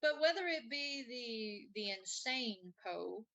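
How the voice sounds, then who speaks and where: Bright-voiced woman hosting a casual chat. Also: fairly high pitch, lively intonation, takes it slowly, faint music behind. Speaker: live show host. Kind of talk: casual chat, reading out viewer comments.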